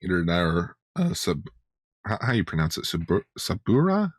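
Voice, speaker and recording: Man, lowish voice, dry recording, casual podcast chat, halting, repetitive phrasing. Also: sing-song, slightly rough, no room sound. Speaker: podcast co-host. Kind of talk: casual fan discussion.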